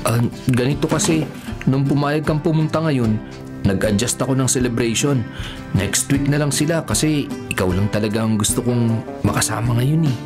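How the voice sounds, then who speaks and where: Actor as a smitten young Filipino man, loud music behind. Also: medium pitch, normal speed, natural voice. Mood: tender. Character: smitten young man, birthday celebrant, terrified by a monster. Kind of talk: Filipino radio horror drama acting.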